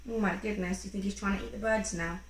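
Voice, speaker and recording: A woman in her thirties reading a picture book to a young child in an expressive, encouraging way, pausing to ask questions. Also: mid-range voice. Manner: expressive, encouraging, acting out sound effects. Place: small room at home, indoors. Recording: roomy home recording with faint background noise.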